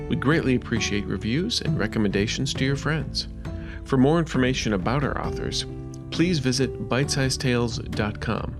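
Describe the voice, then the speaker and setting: A man reads a podcast's closing announcements in a formal, scripted way. His voice has a gravelly rasp. Plucked guitar music plays clearly under him. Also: medium pitch. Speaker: podcast co-host.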